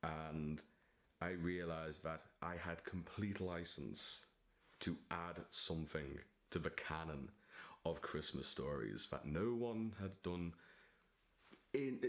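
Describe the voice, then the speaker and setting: Very deep-voiced theatrical man vlogging. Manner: theatrical, digressive, giddy. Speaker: vlogger and aspiring novelist. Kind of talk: casual monologue to camera.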